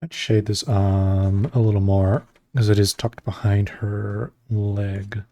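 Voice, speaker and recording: A man streaming while he draws, chatting casually with viewers and rambling between pauses. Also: on the low side, lo-fi sound. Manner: relaxed, rambling, talks to chat between pauses. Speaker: streamer drawing live. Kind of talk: casual chat while drawing.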